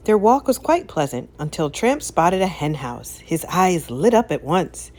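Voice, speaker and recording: A woman narrating a children's story as an expressive read-aloud. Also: fairly low pitch, a little slow.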